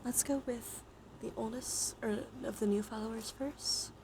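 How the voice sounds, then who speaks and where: A woman hosting a live ASMR stream, speaking softly and gently to viewers and often slipping into whispers. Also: fairly high pitch, fairly even intonation, measured pace, background noise.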